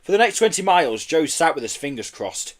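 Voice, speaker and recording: Man, children's audiobook narrator, expressive, very varied intonation, slightly high voice. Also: fairly quick. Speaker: audiobook reader.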